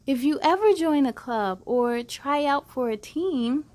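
A woman in her thirties reading aloud to children as a storytime host, with an encouraging, animated manner and simple phrasing. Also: high-pitched, measured pace. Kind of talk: children's read-aloud, addressing young listeners.

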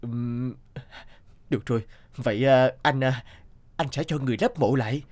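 A man voicing an earnest, righteous young official devoted to his sweetheart in a dramatic animated folktale. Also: medium pitch, normal speed, lightly acted. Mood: gentle resolve.

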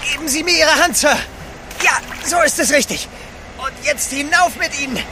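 An actor playing a polite, loyal manservant in an adventure audio drama, speaking courteously. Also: male voice, very high-pitched, normal speed, heavy background noise. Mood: urgent. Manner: courteous, animated.

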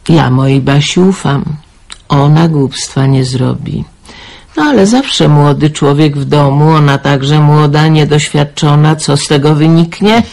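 A woman's voice: low voice, measured pace, vocal fry, darkish tone. An actress in a radio drama playing an anxious older mother, fretful and sighing as she speaks. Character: anxious older mother fretting over her daughter. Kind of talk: 1990s radio drama acting.

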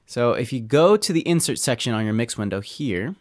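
A man talking through a software tutorial as an audio engineer, in an easygoing, conversational way with informal asides. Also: medium pitch, sing-song, a little fast, no echo, studio quality. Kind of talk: casual software tutorial talk.

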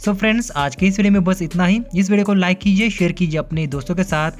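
A man's voice: sits high, fast, faint music behind. Upbeat male Hindi tech reviewer. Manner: upbeat, repeats filler phrases, mixes English words into Hindi. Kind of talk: phone feature walkthrough. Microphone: off-camera voice-over over hands-on phone footage.

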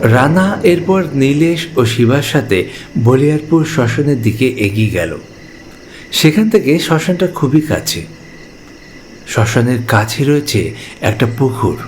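A man's voice: mid-range voice, big pitch swings, a little slow, pauses often, a little mellow, a little hiss or hum. A man narrating a scripted ghost story as the storyteller.

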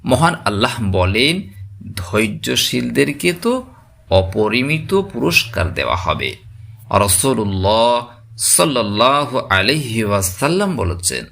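Male religious storyteller. Gravelly tone, widely swinging intonation, solemn scripted preaching. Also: medium pitch, fairly bright tone. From West Bengal, India. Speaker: narrator.

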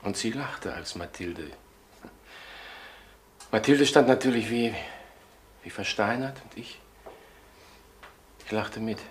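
An actor playing a distraught, lovesick middle-aged murder suspect in a crime radio drama, halting and defensive. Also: male voice, on the low side, lightly acted. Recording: noisy old radio drama recording.

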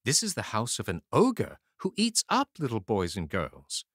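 A man's voice: lowish voice, huge pitch swings, moderate pace, fairly bright tone, no room sound, studio quality, heavily acted. A man voicing a kindly, worried ogre's wife in a fairy tale, sympathetic and anxious. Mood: pitying.

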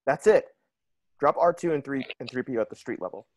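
A man's voice: medium pitch, expressive, fairly quick, no room sound, lightly acted. A man playing a plainspoken, wry young rebel soldier in a script reading. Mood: focused, wry.

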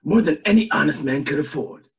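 A man voicing a scheming, self-pitying old tycoon with theatrical, drawn-out delivery in an amateur cartoon table read. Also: fairly high pitch, lively intonation, gravelly. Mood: conspiratorial.

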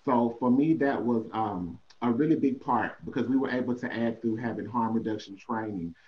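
A man's voice: mid-range voice, moderate pace. A man on a panel explaining a prevention program over a video call, earnest and hesitant, with many fillers. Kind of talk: casual panel answer. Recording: dull lo-fi video call audio.

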